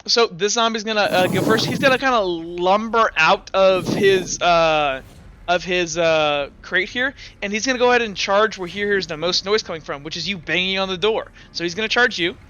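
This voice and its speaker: Man running a tabletop game: higher, bright voice, works through the rules out loud and corrects himself. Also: normal speed, clear, resonant tone. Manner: talks through rules and dice aloud, often correcting himself. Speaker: game master. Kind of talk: narrating and refereeing a tabletop game.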